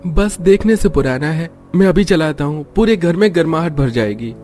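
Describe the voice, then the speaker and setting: A man's voice: a little high, expressive, normal speed, mellow, faint music behind, natural voice. A man playing a naive, eager young labourer in a cartoon family drama, speaking in an earnest, persuasive way.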